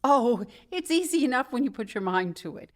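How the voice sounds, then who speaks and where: A woman's voice: high voice, expressive, brisk. An actress playing a weary, wry New York woman in a comedic radio drama, politely sardonic in manner.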